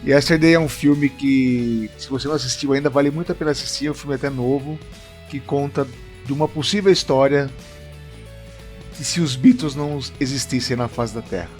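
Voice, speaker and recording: A man's voice: medium pitch, a little fast, darkish tone, faint music behind. A man from Brazil, an English teacher, giving a casual video lesson in a friendly, chatty way and speaking straight to his viewers.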